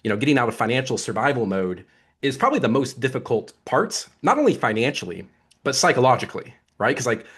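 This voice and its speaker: A man's voice: mid-range voice, husky. A man hosting a podcast interview in a casual, upbeat, conversational way. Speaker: podcast host.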